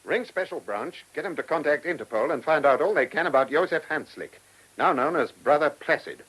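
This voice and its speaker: A man's voice: a little high, animated, fairly quick, a bit bright, breathy edge, low-quality recording. An actor playing an irritable, shrewd male chief inspector in a radio play, brusque and commanding, given to muttered asides.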